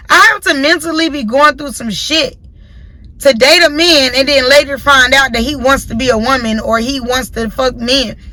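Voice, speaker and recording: A woman's voice: high-pitched, sharp tone, no room sound, poor audio. A woman in her late twenties hosting her own podcast, giving a blunt, profane opinion monologue and repeating her points for emphasis. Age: late 20s. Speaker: podcast host. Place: in a car.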